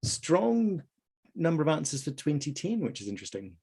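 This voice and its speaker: A man hosting a live online quiz, reading out questions and answer options in a measured way. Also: a little high, very animated, a little mellow, breathy edge. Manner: reads options aloud in a measured list. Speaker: quiz host at an online conference.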